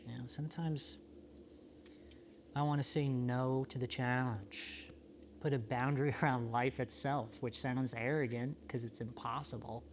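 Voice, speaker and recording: A man playing a solitary, introspective apocalypse survivor in a found-footage monologue, talking in a halting, self-questioning, confessional way. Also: mid-range voice, fairly even intonation, a little hiss or hum, natural voice. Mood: weary, reflective. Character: solitary, world-weary, introspective male survivor of a quiet apocalypse.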